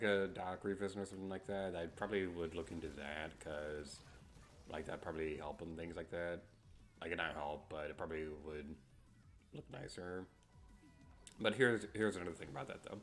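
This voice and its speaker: A sleepy man with a very deep voice, streaming a game and chatting casually with many fillers. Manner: sarcastic outbursts when losing.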